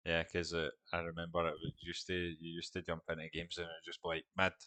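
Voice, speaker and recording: A man's voice: deep voice, monotone, fast, sharp tone, no echo. A man hosting an interview, asking chatty, rambling questions. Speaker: interview host. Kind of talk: casual interview.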